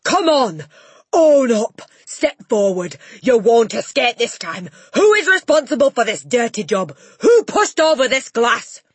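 A woman's voice: fairly high pitch, big pitch swings, unhurried, husky, no room sound, pitched above the performer's own voice, full character voice. A woman voicing a furious, bullying giant of a headmistress who roars at her class. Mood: furious roaring. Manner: roaring, shouting.

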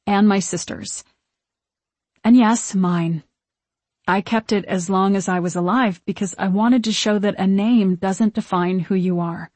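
A woman playing a gentle, wistful young ghost of a witch in an audiobook, speaking in earnest, measured, old-fashioned phrases. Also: medium pitch, fairly even intonation, dry recording, lightly acted. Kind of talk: audiobook character acting.

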